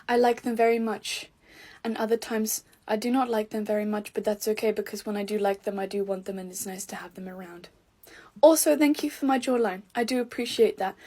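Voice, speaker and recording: A woman with a breathy, somewhat high voice speaks a mock-earnest prayer. Her delivery is playful and wry, and she sounds soft and a little airy throughout. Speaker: gender-fluid content creator.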